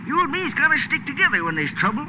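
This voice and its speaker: An actor playing a clingy, scrappy older sidekick in a 1940s radio drama, with folksy, ungrammatical, wheedling talk. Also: male voice, very high-pitched, very animated, quick pace. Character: scrappy, clingy old sidekick, a boat stowaway. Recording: dull, noisy 1940s radio recording.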